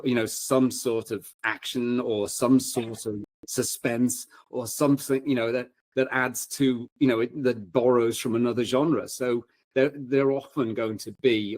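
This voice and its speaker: A man's voice: mid-range voice, a little mellow, no echo. A man speaking as a guest in a casual panel discussion, with hesitant, circling phrasing.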